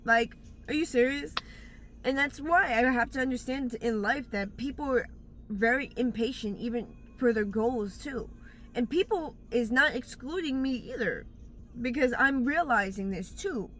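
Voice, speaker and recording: Woman rambling blunt life advice outside on her phone, kinda high voice, faint outdoor hum behind. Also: a little slow, a bit bright. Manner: blunt, rambling, searches for words. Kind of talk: casual advice monologue. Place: outdoors in bright daylight.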